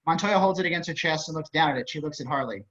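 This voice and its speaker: A man's voice: a little high, rapid-fire, fairly bright tone. A man narrating stage directions for a script table reading over a dull-sounding video call.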